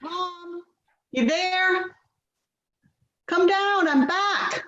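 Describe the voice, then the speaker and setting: A woman's voice: very high-pitched, drawn-out words, fairly bright tone, full character voice. A woman acting in a play reading as a cheerful daughter calling out to her mother. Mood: bright, calling out. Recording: echoey, dull, noisy room recording.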